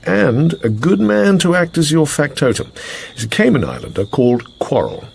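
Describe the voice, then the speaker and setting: An actor playing a British intelligence officer in a radio drama, briefing an agent in hesitant, clipped upper-class phrases. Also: male voice, medium pitch, gravelly. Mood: brisk, businesslike. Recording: faint background noise and ambience.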